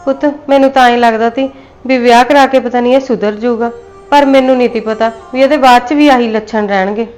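A woman's voice: sits high, steady tone, normal speed, long pauses, natural voice. A woman playing a pleading mother-in-law. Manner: soothing, pleading, apologetic. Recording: faint music behind.

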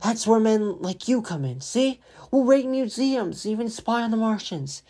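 A man's voice: sits high, animated, unhurried, mellow, voice pushed up, heavily acted. A man voicing a fervent, half-crazed artilleryman survivor in a radio play, ranting and insistent. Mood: fervent, excited. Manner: insistent, ranting, punctuating with "see?". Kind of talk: old-time radio drama acting.

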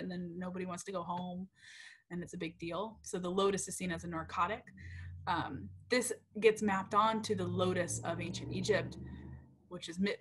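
A young woman researcher giving a casual, chatty lecture over slides, with many ums, self-corrections and "right?" checks. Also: on the low side. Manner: uptalk and frequent "right?" checks. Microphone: computer mic on a video call.

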